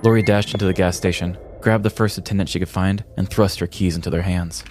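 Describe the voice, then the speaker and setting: Very deep-voiced American man narrating. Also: steady tone, fast talker, a little mellow, a little hiss or hum. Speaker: podcast host telling a story. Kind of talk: scripted comedic narration.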